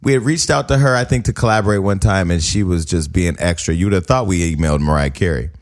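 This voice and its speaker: Male host, quick, low, teasing banter on a talk show. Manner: joking, teasing, rapid questions. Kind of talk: casual radio show banter.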